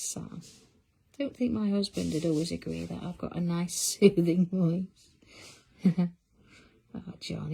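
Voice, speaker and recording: Chatty woman with a gravelly voice. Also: mid-range voice, dark tone, rough recording. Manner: chatty, reads out viewer comments while working. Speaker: craft livestream host. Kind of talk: casual chat while drawing on a livestream.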